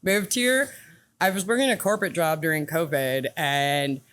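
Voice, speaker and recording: A woman's voice: deep voice, moderate pace, fairly bright tone. A woman from Washington State, a bartender chatting casually on a podcast and laughing as she talks. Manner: chatty, laughs while talking. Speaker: bartender guest. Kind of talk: casual chat.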